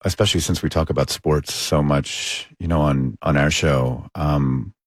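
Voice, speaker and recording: A man hosting an interview, asking gentle questions in a halting, tentative way. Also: extremely low pitch, no room sound, studio quality. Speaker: interviewer, sports show host.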